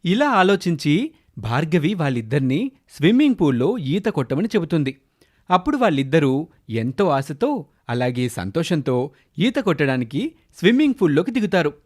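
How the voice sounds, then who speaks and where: A male narrator tells a scripted children's story. His voice is on the high side and moves with animated, swinging intonation. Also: moderate pace.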